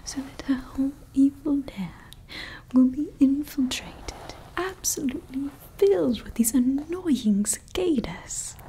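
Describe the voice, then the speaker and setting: A woman's voice: high voice, measured pace, mellow, a little hiss or hum, poor audio. A woman voicing a scheming evil female scientist in an ASMR roleplay, whispering throughout and rambling with fillers. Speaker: ASMR creator.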